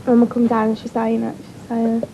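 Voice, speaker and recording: Loud-voiced woman talk show guest. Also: fairly high pitch, completely flat delivery, fast, gravelly. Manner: says she has a loud voice. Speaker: studio guest, sister. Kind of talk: emotional recounting. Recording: dull lo-fi TV recording, faint hum.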